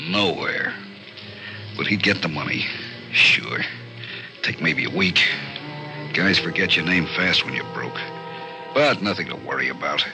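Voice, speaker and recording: An actor playing a grimly cool hard-boiled narrator of an old radio crime story, terse and dramatic. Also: male voice, low voice. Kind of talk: scripted noir narration, 1940s radio. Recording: old radio recording under loud music.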